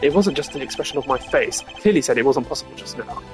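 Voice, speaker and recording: A man voicing a stern, proud young prosecutor from a video game, speaking in a formal, measured, stiff manner. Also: mid-range voice, expressive, lightly acted. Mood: skeptical. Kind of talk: voiced reading of video game dialogue. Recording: game music behind the voice. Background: game music.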